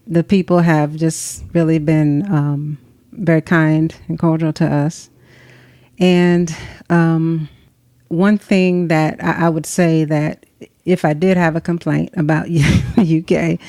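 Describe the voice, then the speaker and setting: Deep, mellow woman. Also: no room sound, clean studio sound. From Tennessee, US. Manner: reflective, soft-spoken with pauses. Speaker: guest. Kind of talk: conversational interview. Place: recording session in Atlanta.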